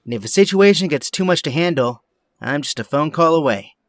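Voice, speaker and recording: Billy, a man as an animated, supportive young friend. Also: a little high, a little fast, no echo. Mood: friendly, encouraging. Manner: encouraging, animated. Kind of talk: audio drama acting.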